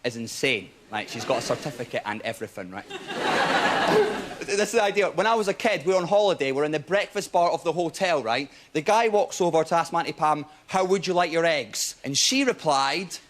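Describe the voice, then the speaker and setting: A Scottish man in his twenties doing a stand-up set on stage, telling stories quickly and with punchlines. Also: a little high. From Scotland. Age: 20s. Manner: quick, animated storytelling with punchlines. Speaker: stand-up comedian. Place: TV studio stage before a live audience. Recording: audience laughter and crowd noise.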